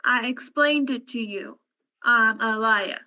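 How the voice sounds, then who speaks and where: A woman's voice: fairly high pitch, slow pace, pushed and bright, dull audio. A woman playing a brazen, fragile young liar in a radio crime drama. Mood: defiant. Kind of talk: old-time radio crime drama acting.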